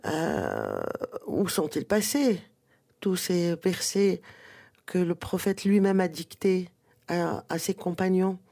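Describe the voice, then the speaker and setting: A woman's voice: on the low side, very animated, slow, creaky, warm tone. Hesitant woman, native Arabic speaker. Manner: hesitant, explanatory, many fillers and restarts. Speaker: guest author. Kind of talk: radio interview.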